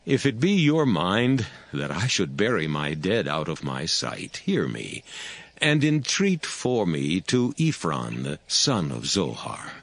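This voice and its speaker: Very deep, gravelly old patriarch. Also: male voice, full character voice. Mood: solemn pleading. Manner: slow, weighty, dramatic delivery. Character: solemn, aged Hebrew patriarch, grave and commanding. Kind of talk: dramatized scripture acting.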